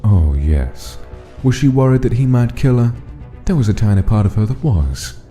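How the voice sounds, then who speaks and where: Guy narrating a dramatic story, really low mellow voice, faint music behind. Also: sing-song, normal speed.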